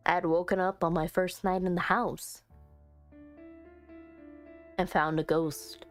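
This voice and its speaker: A woman doing let's play commentary on a visual novel, reading it aloud and chatting with expressive, playful asides. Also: on the low side, slow. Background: soft music.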